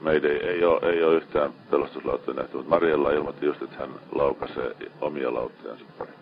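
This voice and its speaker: A man's voice: a bass voice, fairly even intonation, creaky. A man, speaking as a ship officer coordinating a rescue over marine radio. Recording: muffled, band-limited marine radio transmission.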